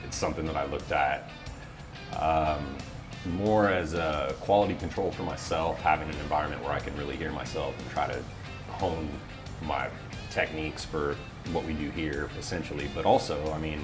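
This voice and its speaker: Very deep-voiced American man, wryly self-deprecating. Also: fairly even intonation, normal speed, over music. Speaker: interview guest, office voiceover talent. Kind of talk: casual interview chat.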